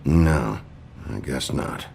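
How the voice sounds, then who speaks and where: An actor playing a gruff, brooding sheriff in a video game, terse and halting, with a gravelly voice. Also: male voice, very deep voice, darkish tone, slight background noise, full character voice. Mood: wry, pressing. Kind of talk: video game dialogue acting.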